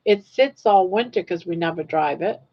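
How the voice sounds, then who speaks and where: A woman's voice: fairly low pitch, expressive, moderate pace, muffled recording. Older Maine woman, chatty livestream. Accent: southern Maine. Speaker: livestream host. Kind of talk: casual chat while crocheting, reading viewer comments.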